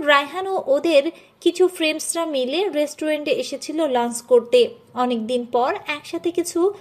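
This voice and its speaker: Woman, high-pitched voice, scripted dramatic story narration, narrator. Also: darkish tone.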